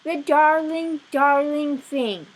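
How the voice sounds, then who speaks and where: A woman voicing an excitable, doting married woman from a children's storybook, with a gushing, tender manner. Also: high voice, takes it slowly, voice pushed up, low-quality recording. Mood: delighted, doting.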